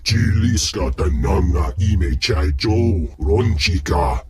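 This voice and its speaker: An actor playing a gruff alien crime lord with a rough, husky voice, speaking an invented tongue in a video game. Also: male voice, fairly low pitch, expressive, slow, darkish tone. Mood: domineering. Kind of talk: video game character acting. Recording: music under the voice.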